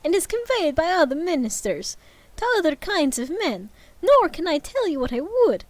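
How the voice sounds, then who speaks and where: A woman voicing a mournful, weary old male ghost bound in chains, declaiming in a high, wailing way while reading a storybook aloud. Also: big pitch swings, normal speed, no room sound. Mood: lamenting.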